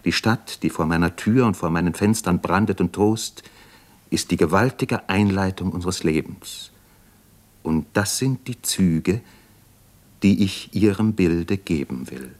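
An actor playing an educated, sensitive, reflective doctor fallen on hard times, in a German radio play. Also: male voice, low voice, a little slow.

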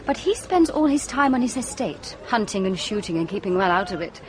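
An actress playing an outspoken young woman doctor with an English accent in a radio drama, forthright and expressive. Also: medium pitch, brisk, some chatter behind, close to an everyday voice. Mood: amused, chatty.

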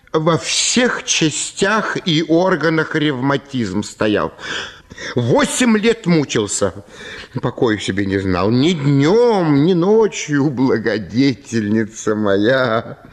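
An actor playing a small, effusive old impoverished gentleman, gushing with florid, obsequious gratitude in a radio play. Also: a little high. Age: older. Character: small old impoverished landowner, effusive, fawning, grateful flatterer. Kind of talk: radio play acting of classic comic story.

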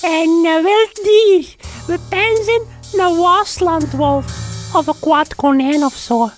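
Very high-pitched, folksy Flemish innkeeper. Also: female voice, takes it slowly, soft background music. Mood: folksy, matter-of-fact. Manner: rambling, folksy with mangled formal words. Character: chatty, folksy village innkeeper wife, eager and simple. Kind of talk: comedic radio drama acting.

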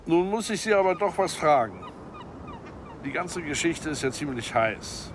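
A man's voice: on the high side, animated, a little slow, a little hiss or hum. An actor in a radio play voicing a businesslike male arms expert and professional killer, methodical and formally polite in his instructions. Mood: probing, sceptical.

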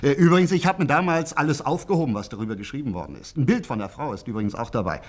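An actor playing a chatty, gossipy small-town pub landlord in a German radio drama, talkative with hesitant, conversational turns. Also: male voice, mid-range voice, very animated, very fast. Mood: gossipy, eager.

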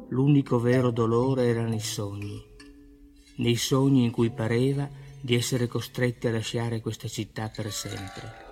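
A man giving a solemn poetry recitation, declaimed in measured short phrases. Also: medium pitch. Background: music.

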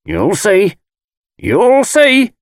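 A man's voice: high voice, huge pitch swings, drawn-out words, dry recording, studio quality, voice pushed up, full character voice. A man voicing a malicious, wheedling old woman who tells fortunes, with rustic dialect speech and ominous insistence, in an audiobook. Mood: ominous, gloating. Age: older. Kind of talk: dramatised audiobook character voice.

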